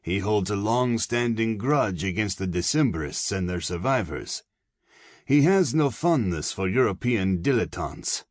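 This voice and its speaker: Plump German colonel, soft and knowing, raised voice over a dry read, put on by a male narrator. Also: on the low side, lively intonation, measured pace, no room sound, pitched above the performer's own voice. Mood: probing.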